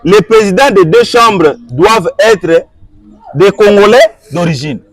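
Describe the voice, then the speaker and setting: A man's voice: sits high, animated, unhurried. A man answering an interviewer, arguing his point with repeated rhetorical questions and switching between French and Lingala. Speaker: guest.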